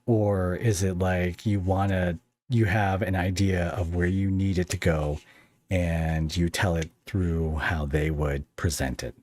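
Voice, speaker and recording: A man hosting a casual interview podcast, halting and rambling as he thinks aloud and puts questions to a guest. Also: low voice, steady tone, a little mellow.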